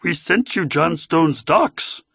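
A man doing a croaky Yoda-like robot phone voice. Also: fairly high pitch, expressive, takes it slowly, fairly bright tone, no echo, pitched above the performer's own voice, exaggerated voice. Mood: insinuating. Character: male text-to-speech phone voice, Yoda-like, menacing. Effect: phone call.

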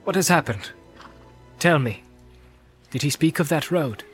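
A man voicing an aged, fatherly king who questions with quiet concern. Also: fairly high pitch, expressive, measured pace, faint music behind.

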